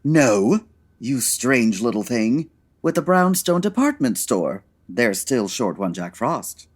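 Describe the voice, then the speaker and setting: Give me a husky, theatrical, fussy dandy. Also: male voice, mid-range voice, big pitch swings, slow. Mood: coy, affectionate. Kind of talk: comedy audio drama.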